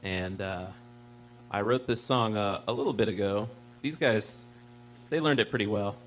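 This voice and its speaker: A man's voice: lowish voice, moderate pace. A man, a guest musician and bandleader, chatting casually and modestly before playing live. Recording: background noise, hum, faint audience sound.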